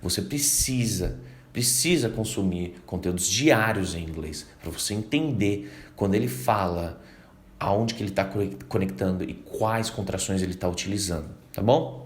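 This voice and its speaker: Animated Brazilian man teaching English. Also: sits low. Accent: Brazilian Portuguese. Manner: animated, acts out learners' reactions, reads English lines slowly. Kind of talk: casual video lesson.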